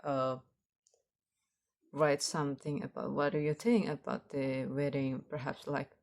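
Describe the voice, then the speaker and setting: A woman with a low voice and an Indonesian accent rambles casually, as if practicing her speaking for a vlog. She speaks haltingly, searching for the right word and circling back over her phrases. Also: fairly even intonation, creaky, darkish tone. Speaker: vlogger.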